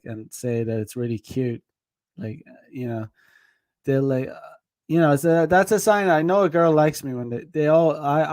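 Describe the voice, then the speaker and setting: A man's voice: medium pitch, darkish tone, no room sound. Rambling man, dry close sound. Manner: rambling, heavy on fillers, laughs often. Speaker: dating advice streamer. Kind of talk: casual rambling livestream talk.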